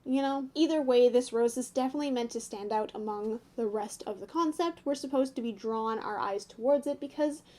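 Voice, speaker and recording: Soft-toned, high-voiced young North American woman. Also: a little fast, few pauses. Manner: chatty, giggly, tentative, thinking aloud. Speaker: YouTube host giving fan commentary. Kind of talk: casual analysis chat to viewers.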